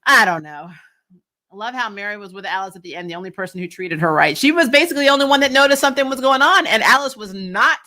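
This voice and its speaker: A woman's voice: medium pitch, animated, fast talker, sharp tone. A woman giving casual, candid fan commentary as a recap host, in a sassy, emphatic and colloquial way.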